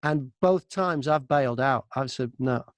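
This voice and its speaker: A man's voice: medium pitch, a little slow, no room sound. A man talking casually as an interview guest, telling stories about his work as an audiobook narrator and acting out remembered exchanges.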